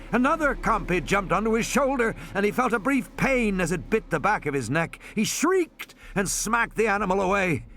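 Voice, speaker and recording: A man narrating a suspense novel as an audiobook, in an expressive, dramatic delivery. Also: high voice. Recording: added music and sound effects underneath. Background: music and sound effects.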